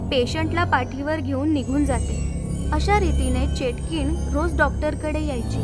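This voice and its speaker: A woman reading scripted horror story narration as the narrator. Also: sits high. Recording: loud music under the voice.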